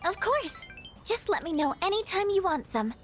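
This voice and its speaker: High-voiced, sweet, shy schoolgirl. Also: very animated, normal speed, soft background music, full character voice. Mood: delighted. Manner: gentle, hesitant, sincere. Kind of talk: video game voice acting.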